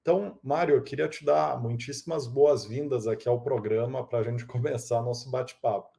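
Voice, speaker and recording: Mellow-voiced male podcast host. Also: medium pitch, steady tone, moderate pace, creaky. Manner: fluent, scripted intro then chatty. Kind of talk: podcast hosting.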